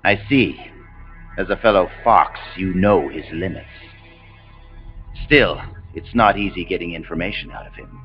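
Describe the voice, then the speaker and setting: An actor playing a cold, commanding rogue officer in a video game, speaking in measured, imperious monologues. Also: male voice, low voice. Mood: cool, probing. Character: cold, commanding male rogue officer, grandiose engineered super-soldier. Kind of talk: dramatic video game voice acting. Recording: dull compressed game audio under loud music.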